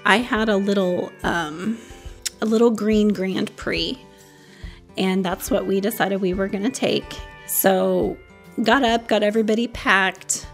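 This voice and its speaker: A woman's voice: medium pitch, steady tone, measured pace, faint music behind. A woman telling a personal memory in casual, conversational speech. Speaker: story interviewee. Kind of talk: casual recollection.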